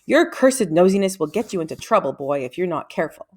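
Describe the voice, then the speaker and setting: A woman's voice: on the low side, sing-song, fast, a little mellow. A woman voicing a brusque, imperious older male wizard in a children's storybook, speaking in a stern, formal, clipped way. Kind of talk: children's storybook read-aloud.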